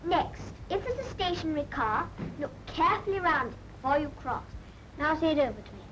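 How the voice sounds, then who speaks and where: A woman's voice: high voice, lively intonation, brisk, fairly bright tone. A woman playing a didactic road safety instructor in a 1940s information film, speaking like a schoolmistress. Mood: instructive, encouraging. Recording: noisy 1940s film soundtrack.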